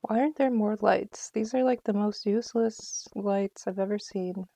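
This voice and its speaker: A woman's voice: mid-range voice, fairly even intonation, moderate pace, creaky, mellow, no room sound. A woman giving casual live game commentary as a streamer, chatty and self-deprecating in her manner.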